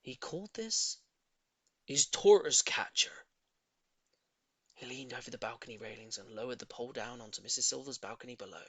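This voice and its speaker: A man reading a children's story in an expressive, theatrical narrating style. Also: mid-range voice, normal speed, long pauses, slightly rough, no echo. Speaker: audiobook reader.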